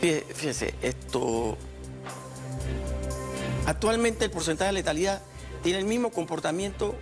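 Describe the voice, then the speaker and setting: A man's voice: high-pitched, expressive, measured pace, over music. A middle-aged man, a doctor explaining things in plain terms on a studio talk show. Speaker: infectious disease doctor guest. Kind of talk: explanatory TV interview.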